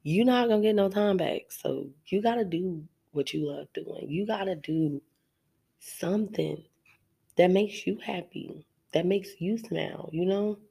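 Low-voiced woman podcaster with a cold. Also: a little slow, gravelly, dark tone, husky. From Arizona. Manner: chatty, laughs often, addresses listeners directly. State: congested from a cold, nasal. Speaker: podcast host. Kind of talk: casual solo podcast monologue.

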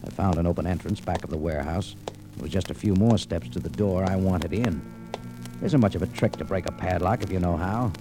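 An actor playing a tough, wry American adventurer in an old-time radio detective drama, speaking in clipped hard-boiled lines. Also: male voice, deep voice, brisk, lightly acted, rough recording. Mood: tense narration. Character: tough, wry, unflappable American adventurer telling his tale. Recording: vintage radio recording, faint music.

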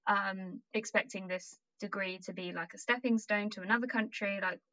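A woman's voice: medium pitch, steady tone, moderate pace, bright, forceful tone, low-quality recording. A young woman interviewing a friend in a casual chat, asking long, rambling, curious questions.

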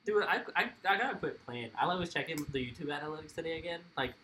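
Lively bright-voiced young man. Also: a little high, quick pace, a little hiss or hum. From Mexico. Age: 20s. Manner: laid-back, rambling, swears freely. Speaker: podcast guest. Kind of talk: casual podcast chat.

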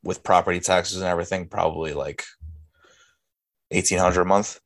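A man's voice: sits low, steady tone, moderate pace. A young man co-hosting a casual podcast chat, talking bluntly and grumbling, with frequent swearing. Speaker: podcast co-host.

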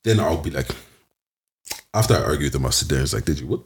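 A man in casual podcast chat, animated and putting on voices when he quotes others. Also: very deep voice, fast talker.

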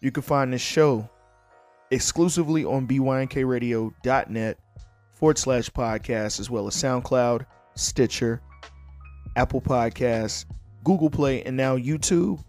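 Hoarse man hosting a casual podcast. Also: mid-range voice, a little slow, a little mellow, faint music behind. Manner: loose, blunt and joking, with frequent fillers. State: hoarse, losing his voice. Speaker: podcast host. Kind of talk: casual solo podcast talk.

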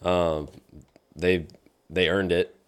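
A man's voice: low voice, little pitch movement, slow, clean tone. A young man co-hosting a casual sports podcast, talking with hype and enthusiasm. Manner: enthusiastic, hypes up segments. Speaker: podcast co-host.

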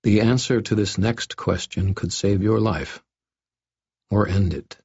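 A man voicing a stern, wry investigator wizard in an audiobook, speaking in a quiet, measured and threatening way. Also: fairly low pitch, steady tone, slightly rough, muffled recording. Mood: quiet menace. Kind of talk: audiobook first-person dialogue.

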